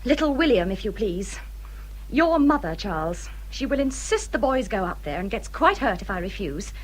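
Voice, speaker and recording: A woman's voice: medium pitch, big pitch swings, normal speed, slightly rough, low-quality recording. An actress playing a self-pitying young married woman in a period radio drama, with a genteel English accent and a fretful, aggrieved manner. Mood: indignant fretting.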